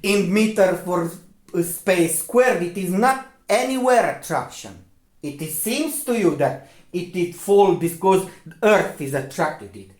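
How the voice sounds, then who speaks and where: Slow, insistent man with a Romanian accent. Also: high voice, animated, reverberant. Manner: insistent, repeats "okay?", hesitant fillers. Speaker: video commentator. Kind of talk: lecturing commentary.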